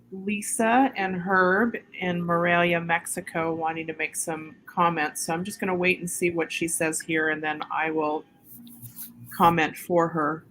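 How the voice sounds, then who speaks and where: A woman's voice: on the low side, a bit bright, a little hiss or hum, low-quality recording. A woman hosting a livestream over a video call, hesitant and full of ums as she waits for viewer comments. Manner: hesitant, many ums while waiting for comments. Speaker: livestream host.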